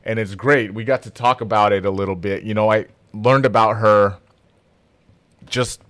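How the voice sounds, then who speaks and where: A man's voice: on the low side, steady tone, normal speed, a bit bright, dry recording. A man from Toronto hosting a podcast in a casual, conversational interview style. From Toronto, Canada.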